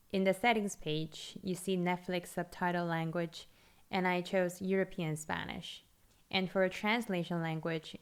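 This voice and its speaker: A woman whose first language is Korean, calmly walking through a tutorial as a scripted voice-over, explaining steadily step by step. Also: fairly low pitch, clear, resonant tone. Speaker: language-learning video host.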